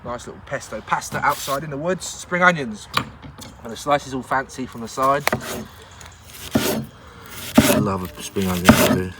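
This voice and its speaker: A man's voice: medium pitch, expressive, unhurried, long pauses, very noisy. A man hosting a casual outdoor cooking show in the woods, talking through each step with plenty of jokes and laughter. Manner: chatty, jokey, laughs often.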